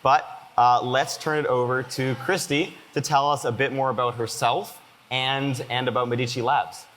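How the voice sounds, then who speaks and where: A man's voice: mid-range voice, flat delivery, normal speed, fairly bright tone, slight background noise. Young male moderator in a reverberant hall. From Boston area. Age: 20s. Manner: hesitant, many fillers and restarts. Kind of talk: moderating a conference panel. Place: conference hall.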